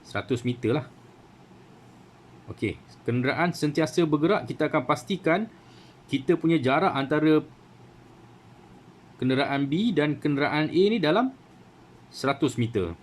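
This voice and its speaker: A man's voice: on the high side, animated, normal speed, long pauses. A man with a Malaysian Malay accent giving a casual how-to talk as a channel host, full of fillers and asides asking for agreement. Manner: chatty, many fillers and rhetorical "kan?". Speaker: radio hobby channel host.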